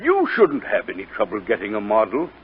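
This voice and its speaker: A man's voice: fairly low pitch, wildly swooping pitch, fairly quick, a little hiss or hum. A man acting a blunt, dry art dealer in a 1940s radio drama, humming hesitantly between short replies. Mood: dry, noncommittal. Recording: muffled, phone-like 1940s radio recording.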